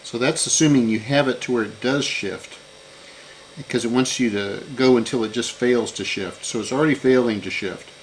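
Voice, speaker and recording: A man's voice: mid-range voice, moderate pace, slight background noise, low-quality recording. A man giving a casual hands-on repair walkthrough, unscripted and thinking aloud as he works. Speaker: repair channel host.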